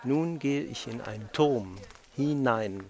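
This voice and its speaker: A man giving a measured, poetic spoken description of his surroundings. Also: medium pitch, darkish tone.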